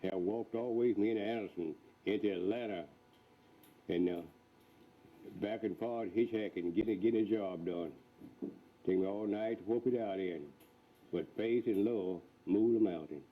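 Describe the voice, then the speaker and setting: A man's voice: fairly low pitch, normal speed. A man in his eighties with a Southern US accent, reminiscing in a folksy, plain-spoken rural dialect. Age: 80s. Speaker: elderly farmer, subject of a news feature. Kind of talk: unscripted reminiscing. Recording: thin phone-like sound, faint noise.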